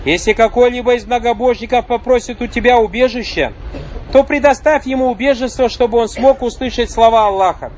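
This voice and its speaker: A man with a fairly high voice teaches a religious lesson in a calm, measured way. He explains each point patiently, pauses for rhetorical questions to his listeners, and recites short phrases. A faint hum of noise sits behind him. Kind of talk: religious lecture.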